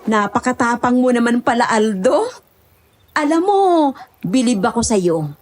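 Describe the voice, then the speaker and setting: A woman's voice: on the high side, expressive, measured pace. A woman playing a feisty, chatty country goat herder in an audio horror drama, speaking expressively. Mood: amused, admiring.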